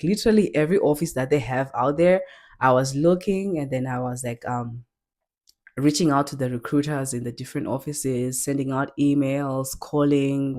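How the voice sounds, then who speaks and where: A young adult woman introducing herself on her new channel, talking earnestly and unhurriedly with frequent pauses and restarts. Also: rumbling low, a little mellow. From Zimbabwe. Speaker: YouTuber. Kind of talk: casual self-introduction.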